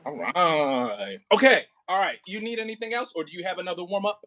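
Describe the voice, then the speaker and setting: A man working as an audio play director and acting coach, talking casually and informally, with halting pauses and emphatic repetition. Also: sits high, lively intonation, sharp tone, no room sound.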